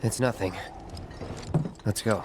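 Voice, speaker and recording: An actor playing a stoic young mercenary hero in a video game, with a clipped, understated delivery. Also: male voice, fairly low pitch, fairly even intonation, slow pace, very noisy. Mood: guarded, calm.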